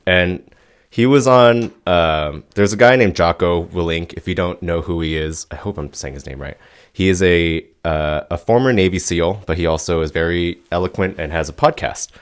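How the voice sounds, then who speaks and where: A man's voice: low-pitched, moderate pace, clean tone. A man hosting a casual talk, explaining things in an informal, hesitant way. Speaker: host introducing and explaining clips.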